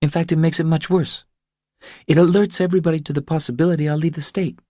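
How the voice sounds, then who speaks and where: A man's voice: a little high, steady tone, quick pace, warm tone, no room sound, clean studio sound, voice pushed up, lightly acted. A man voicing an anxious, stubborn woman who confides her troubles in an earnest, pleading way, for an audiobook. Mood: explaining. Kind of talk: audiobook character dialogue.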